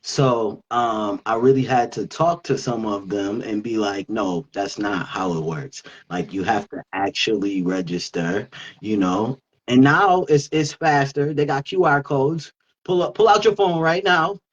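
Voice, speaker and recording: A man's voice: medium pitch, unhurried, muffled recording. A man co-hosting a talk show, chatting casually and informally, in a conversational storytelling way. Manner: informal, conversational, quotes himself. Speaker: talk show co-host, youth worker. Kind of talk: casual anecdotal chat.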